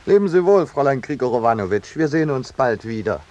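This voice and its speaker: Man playing an urbane, ironic painter, animated delivery. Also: mid-range voice, fast talker, muffled recording, natural voice. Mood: urbane irony. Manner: courtly, dryly ironic. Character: peace-loving male landscape painter, urbane, ironic, unflappable. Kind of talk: 1950s German radio play acting with narration. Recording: noisy 1950s radio drama recording.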